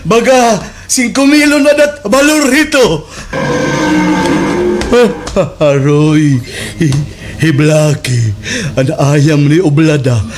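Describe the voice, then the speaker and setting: A man playing a frantic, bumbling village watchman in a slapstick radio drama, exclaiming in agitated, halting bursts. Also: a little high, animated, slight background noise. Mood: panicked shouting.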